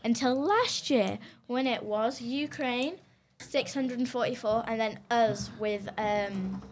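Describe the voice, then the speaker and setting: A woman's voice: on the high side, slow. A girl hosting a home podcast in casual chat with friends, bossy and giggly. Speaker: podcast host. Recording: faint background noise.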